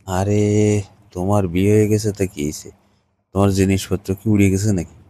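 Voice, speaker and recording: A man's voice: on the low side, steady tone, normal speed, dark tone. A man in a casual, cajoling, colloquial phone chat. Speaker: caller in a staged phone conversation.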